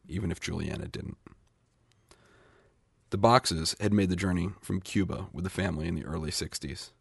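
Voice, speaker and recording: A man's voice: low-pitched, creaky, slightly rough, no echo, clean studio sound. A man reading literary short fiction aloud as a scripted narration. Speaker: short story reader.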